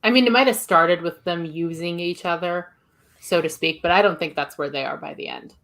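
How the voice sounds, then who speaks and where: A woman's voice: fairly low pitch, a little fast, a bit bright. A woman giving her opinions in casual conversation as a guest reviewer on a podcast.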